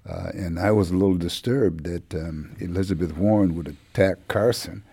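A middle-aged man giving conversational political commentary as a panelist, frank and measured with some hesitation. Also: low-pitched, vocal fry, mellow, slightly rough, no echo. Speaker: newspaper journalist as panel commentator. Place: radio studio.

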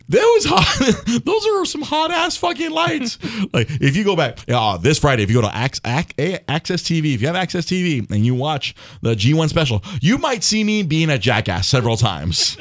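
A man hosting a casual podcast chat, talking in an animated way, swearing, laughing and stumbling over his words. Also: on the high side, normal speed, no room sound, studio quality. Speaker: podcast host.

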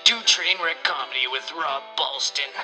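A man's voice: fairly high pitch, moderate pace, close to an everyday voice. A man playing a self-important small-town comedy promoter, rambling hesitantly and pompously through a voicemail-style message with petty threats. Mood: smug, veiled threat. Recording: phone call with faint music.

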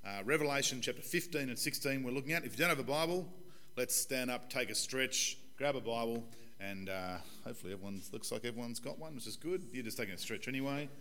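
A man in his forties, a pastor with a croaky, hoarse voice, giving a conversational and earnest sermon in a church. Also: medium pitch, fast, pushed and bright. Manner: conversational, earnest preaching with fillers. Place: church hall.

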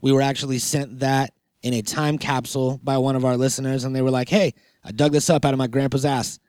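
A man's voice: mid-range voice, little pitch movement, a little fast, darkish tone, smooth, no room sound, studio quality. A man hosting a comedy podcast in casual chat, joking, profane and rambling. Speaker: podcast host.

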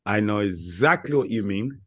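A man co-hosting a podcast, relaxed and teasing, telling rambling anecdotes. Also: fairly low pitch, normal speed. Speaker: podcast co-host. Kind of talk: casual chat.

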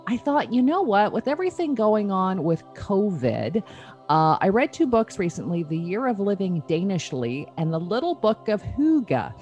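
Animated woman hosting a chatty interview. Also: mid-range voice, moderate pace, highs cut off, faint music behind. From Canada. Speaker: show host.

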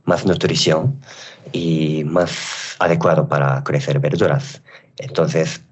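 Deep-voiced male guest chef, Japanese native, slow and hesitant in a second language, slightly dull recording. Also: darkish tone. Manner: halting, searching for words, self-correcting. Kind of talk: interview answers on a radio show.

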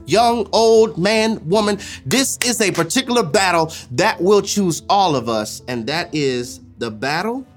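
Dramatic, emphatic preacher over music. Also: medium pitch. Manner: emphatic, dramatic swings between loud exhortation and hushed, deliberate phrases. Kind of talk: impassioned sermon with rhetorical repetition and prayer.

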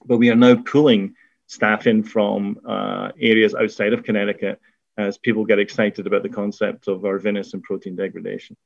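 A middle-aged man with a Scottish accent, talking through his career as an interview guest in a measured way, with frequent fillers and restarts. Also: fairly low pitch, level tone, gravelly, dry recording, dull audio. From Scotland. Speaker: biotech executive guest. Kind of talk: conversational interview.

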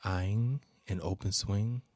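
Slow, deep-voiced man guiding meditation. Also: a little mellow, no echo. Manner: measured, soothing, deliberate phrasing. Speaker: podcast host and meditation guide. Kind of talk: guided meditation narration.

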